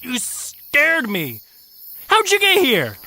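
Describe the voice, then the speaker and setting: A man playing an excitable, petulant young magical creature in a comic stage-style audio drama. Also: extremely high pitch, slow pace, very noisy, exaggerated voice. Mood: flustered. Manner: excitable, complaining.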